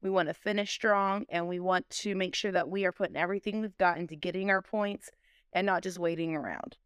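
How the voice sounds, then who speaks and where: Woman coach with very clear, smooth voice. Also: lowish voice, steady tone, a little fast, no room sound, clean studio sound. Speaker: sports coach being interviewed. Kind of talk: interview answers, motivational coach talk.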